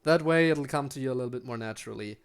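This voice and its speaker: Native German speaker, young adult man with a German accent, casual streamer, mid-pitched and resonant, full of ums and restarts. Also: rapid-fire, clean tone. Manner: chatty, answers chat, frequent ums and restarts. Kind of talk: casual live-stream chat while gaming.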